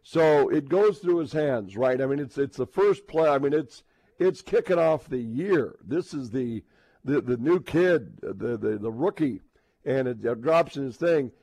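A man hosts casual sports radio talk in a mid-pitched voice with a dry, close sound. He talks haltingly, circling and repeating words as he works up to his point. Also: expressive, dark tone, no room sound.

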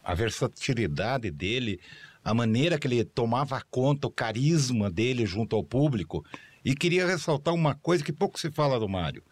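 A man's voice: medium pitch, lively intonation, moderate pace, no echo. A man speaking earnestly on a talk show about a former colleague. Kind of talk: casual talk-show conversation.

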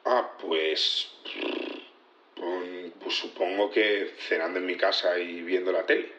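An unsure witness in a courtroom audio drama, a casual security supervisor. His voice is lowish and halting, in slightly muffled sound. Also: steady tone, fairly bright tone. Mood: unsure, offhand. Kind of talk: naturalistic audio fiction acting.

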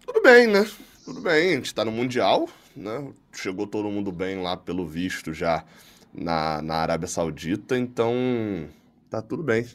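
A man's voice: on the low side, sing-song, measured pace, fairly bright tone. A man, a podcast commentator with wry, teasing humor in casual chat.

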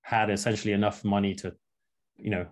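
Halting, thoughtful man, lower voice. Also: flat delivery. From Russia, raised in England. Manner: thoughtful, halting, many fillers and restarts. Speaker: entrepreneur guest. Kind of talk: interview answers.

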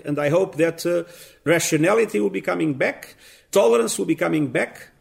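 Gravelly-voiced man speaking deliberately. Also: a little high. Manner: measured, deliberate, with many pauses and fillers. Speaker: interviewed guest. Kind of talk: considered political commentary.

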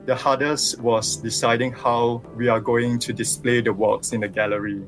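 A man from Singapore with a Singaporean accent, a visual artist calling into a radio interview over the phone, explaining his work in a thoughtful, measured way. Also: mid-range voice, level tone, faint music behind, low-quality recording. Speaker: visual artist and sculptor guest. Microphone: phone line.

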